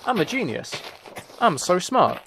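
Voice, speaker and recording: A man's voice: sits high, very animated, unhurried, vocal fry, bright, forceful tone, slight background noise, poor audio. A man chatting casually while he plays a game, thinking aloud in a rambling, playfully self-mocking way. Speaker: streamer.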